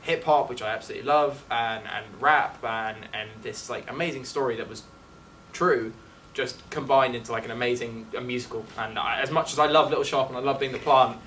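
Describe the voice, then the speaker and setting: A man's voice: medium pitch, fairly quick, a bit bright. A man, a student actor chatting effusively and informally, often saying "like". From England. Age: late teens. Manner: effusive, peppered with "like". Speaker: student actor being interviewed. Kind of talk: casual interview chat. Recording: distant mic with faint background noise.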